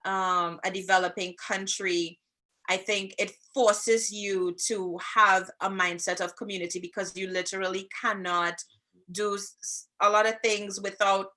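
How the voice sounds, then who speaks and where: A woman from Trinidad with a Trinidadian accent, co-hosting a casual chat with her husband. She tells stories with laughs and slows down to explain things. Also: mid-range voice, level tone, sharp tone. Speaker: co-host, married couple's channel.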